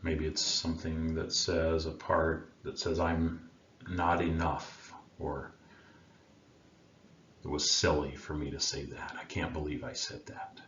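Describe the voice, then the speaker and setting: A man with a remarkably deep voice leads a guided meditation. He speaks slowly and soothingly, leaving long pauses between gentle phrases. The sound is roomy and a little muffled. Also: level tone. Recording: roomy, dull sound with faint background noise.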